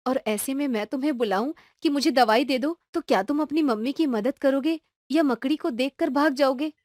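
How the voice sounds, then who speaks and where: A woman voicing a caring, firm mother in an animated story, explaining things patiently to her son. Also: sits high, moderate pace, no echo, studio quality, lightly acted. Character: caring young mother, firm but loving.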